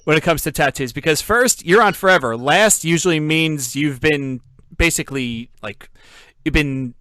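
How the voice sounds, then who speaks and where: A man's voice: a little high, normal speed, sharp tone, smooth, no echo, studio quality. A man from New Jersey hosting a podcast, chatting casually over a call, laughing often and telling stories full of fillers. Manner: laid-back, laughs often, filler-heavy storytelling. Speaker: podcast host. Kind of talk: casual interview chat.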